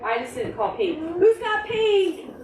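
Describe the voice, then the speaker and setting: A woman's voice: extremely high pitch, very animated, a little slow, background noise. A woman leading a preschool storytime, giving small children simple, repeated instructions and questions. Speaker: preschool storytime leader at a library. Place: indoor library storytime room. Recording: roomy, distant mic, music in background.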